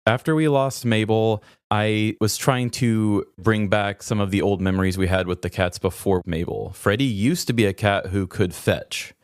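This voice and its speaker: A man's voice: fairly low pitch, normal speed, few pauses, clear, resonant tone, no room sound, clean studio sound. A man co-hosting a podcast in casual chat, with a playful, self-deprecating manner. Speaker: podcast co-host.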